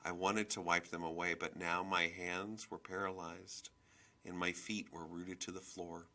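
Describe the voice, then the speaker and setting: A man reading a children's novel aloud as expressive scripted narration. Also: deep voice, unhurried. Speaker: storybook reader.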